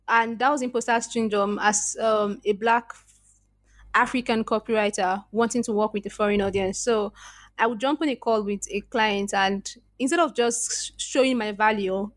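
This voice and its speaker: A woman's voice: fairly high pitch, dry recording. A woman with a Nigerian accent, a podcast guest telling her story in an earnest, reflective way and often restarting her sentences. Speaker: podcast guest, freelance copywriter. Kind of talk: casual interview conversation.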